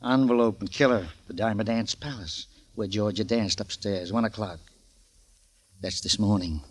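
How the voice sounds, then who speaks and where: An actor playing a shady, mock-kindly lawyer in a radio crime drama, with precise, florid and insinuating courtesy. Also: male voice, fairly low pitch, normal speed, natural voice. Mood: tempting, sly. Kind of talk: 1950s radio crime acting.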